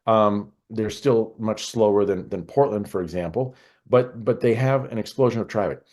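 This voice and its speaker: Male American interview guest, expert register, low pitch, conversational and halting. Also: darkish tone. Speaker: podcast guest, air traffic control expert.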